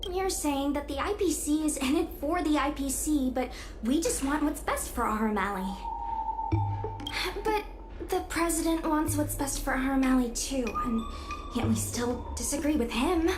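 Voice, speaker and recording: A woman's voice: high voice, lively intonation, normal speed. A woman voicing a candid, well-meaning young girl in a video game, informal, plain-spoken and a little hesitant. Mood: earnest, unsure. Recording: reverberant, with background music.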